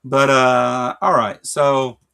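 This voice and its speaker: Gravelly-voiced man with a Kentucky accent. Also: medium pitch, level tone, slow, pushed and bright. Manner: rambling, filler-heavy, joking. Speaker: podcast co-host. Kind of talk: casual livestream chat.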